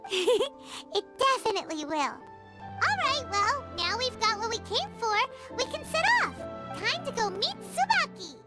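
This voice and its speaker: A woman's voice: very high-pitched, a little slow, loud music behind the voice, full character voice. A woman voicing a tiny, cheerful, childlike fairy companion who loves food, in video game acting. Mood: excited, admiring. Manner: refers to self in third person, excitable.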